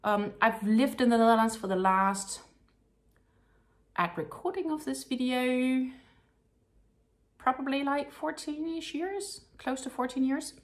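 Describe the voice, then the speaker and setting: A Danish woman with a Danish accent, casually chatting through an unboxing as a crochet vlogger, full of ums and likes and sometimes dropping to a soft murmur. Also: high voice, measured pace, pauses often. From Denmark. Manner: chatty, many ums and likes, drops to a soft murmur while handling yarn. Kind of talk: casual unboxing show-and-tell.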